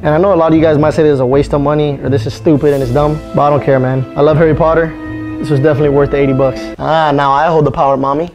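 A man's voice: fairly high pitch, little pitch movement, very fast, over music. A man in his twenties hosting a casual, hyped-up vlog, joking around with slang and swearing. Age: 20s. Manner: boisterous, slangy, profane, joking. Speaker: prank vlogger, channel host.

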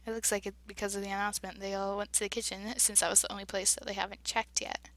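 A woman playing a game, reading its dialogue aloud and reacting with big emotional swings between loud outbursts and hushed narration. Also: medium pitch, little pitch movement, fast talker. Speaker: let's play voice-over player.

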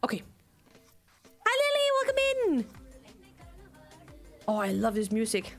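A young woman from Denmark with a Danish accent and a high, bright voice talks through a cooking game as she streams it. She rants with feeling between reading bits of dialogue aloud. Soft game music plays faintly under her desk mic. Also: unhurried. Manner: animated, ranting, reads game lines aloud. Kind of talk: let's play commentary.

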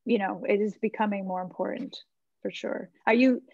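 A woman's voice: medium pitch, fairly even intonation, normal speed, gravelly, a little mellow, breathy edge, no room sound. A middle-aged American woman giving informal, encouraging advice as a webinar presenter, thinking aloud in a conversational way over a video call. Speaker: webinar presenter, website marketing consultant. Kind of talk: informal website critique and advice. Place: small indoor room with bookshelves. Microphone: computer mic on a video call. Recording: slightly dull video-call audio.

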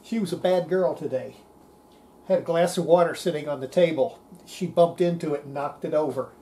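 A woman's voice: deep voice, a little fast, mellow, slight background noise. An older woman giving casual hands-on narration of a repair, murmuring to herself as she works. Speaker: hobbyist restorer presenting a repair video. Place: home electronics workshop, indoors.

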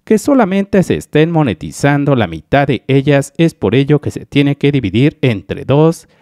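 A man's voice: medium pitch, big pitch swings, normal speed, darkish tone, no room sound, clean studio sound. A man from Mexico talking viewers step by step through a calculation in a screen-recorded explainer voice-over, calling them his dear friends. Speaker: YouTube channel analyst.

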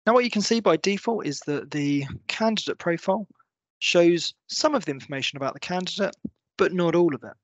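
A man in his thirties presenting a software walkthrough, explaining step by step in a semi-scripted tutorial style. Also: high voice, a little fast, no echo. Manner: clear, step-by-step explanatory delivery. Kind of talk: semi-scripted screen-share tutorial narration.

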